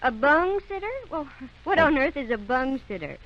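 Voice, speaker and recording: Polite, inquisitive office assistant, muffled recording. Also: female voice, high voice, very animated, normal speed. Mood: polite, curious. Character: polite, curious female doctor's office assistant. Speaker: actress in radio play. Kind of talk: old-time radio drama acting. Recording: muffled, noisy old radio recording.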